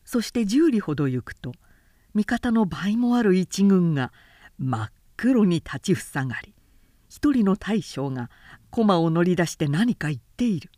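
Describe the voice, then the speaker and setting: A woman who works as a narrator reads a literary tale dramatically, in a measured, carefully articulated way. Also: lowish voice, sing-song, mellow, no echo, studio quality. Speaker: professional narrator.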